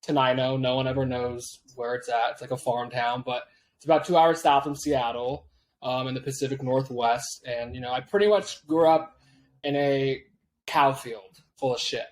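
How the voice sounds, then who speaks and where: A man in his late twenties from Washington State, a guest talking over a video call, laid-back and quick to laugh. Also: mid-range voice, fairly even intonation, slight background noise. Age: 20s. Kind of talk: casual interview chat.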